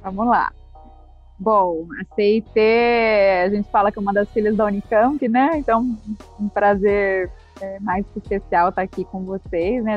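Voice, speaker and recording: A woman's voice: medium pitch, breathy edge, lo-fi sound. A woman, a podcast guest talking chattily about her company. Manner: chatty, frequent tag questions. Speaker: guest, head of people. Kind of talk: podcast interview.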